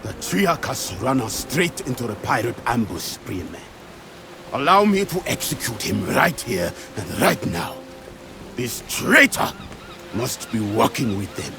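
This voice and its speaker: Ruthless game gladiator: harsh, suspicious, deliberate pace, wildly swinging pitch. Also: male voice, wildly swooping pitch, background noise. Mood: suspicious, grim. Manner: harsh, severe, declamatory. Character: ruthless, suspicious Roman gladiator bodyguard, quick to anger. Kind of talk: scripted video game acting.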